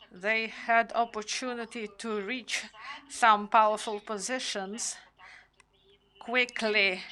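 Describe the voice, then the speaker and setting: A woman in her thirties, a native Romanian speaker from Ukraine, giving halting remarks at a press conference with many pauses and fillers. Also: medium pitch, bright, forceful tone, poor audio. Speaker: panel speaker.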